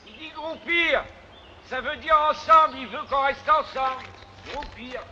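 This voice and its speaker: A man's voice: very high voice, a little slow, sharp tone, dull audio, slight background noise, exaggerated voice. An actor playing an earnest French soldier in an old comedy film clip, explaining orders to his comrades. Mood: insistent.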